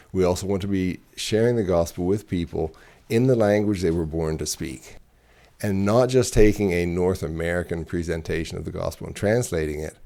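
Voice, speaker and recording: A man's voice: deep voice, creaky, a little mellow. A middle-aged man from Zimbabwe, a ministry director, telling his story in an interview with frequent hesitations and repeated words.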